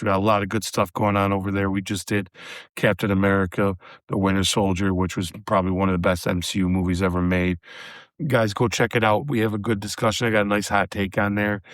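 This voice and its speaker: A man hosting a solo horror movie review podcast, chatty and casual, calling his listeners guys, his voice a little hoarse from a recent cold. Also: on the low side, no room sound. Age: adult with a daughter. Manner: chatty, addresses listeners as guys, many fillers. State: slightly hoarse, recovering from a cold.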